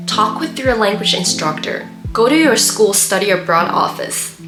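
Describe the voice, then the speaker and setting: A woman whose first language is Mandarin Chinese, hosting her own channel and giving earnest, steady tips in scripted tutorial narration. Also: medium pitch. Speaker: YouTube host. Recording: roomy echo with loud background music.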